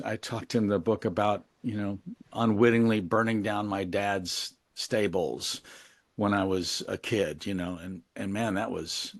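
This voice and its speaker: A man's voice: lowish voice, no echo. A man giving a casual interview as a guest, reflective and candid, thinking aloud as he talks. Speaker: interview guest, musician and memoir author.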